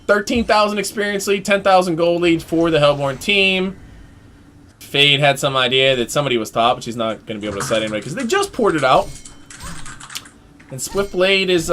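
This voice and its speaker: A man giving live play-by-play commentary on an online team game, excitable and chatty, his voice jumping up during fights. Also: fairly high pitch, moderate pace. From United States. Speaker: esports play-by-play caster.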